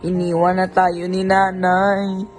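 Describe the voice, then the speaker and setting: A woman's voice: fairly low pitch, completely flat delivery, slow pace, over music. A woman playing a poor, honest young beggar boy in an amateur radio drama. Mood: worried.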